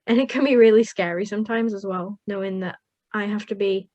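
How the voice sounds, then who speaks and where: Young woman from Wales, Welsh first language, earnest and upbeat, mid-pitched, close dry recording. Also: steady tone, fairly quick, darkish tone, dry recording. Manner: earnest, upbeat, laughs often. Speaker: young carer guest. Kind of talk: interview answers.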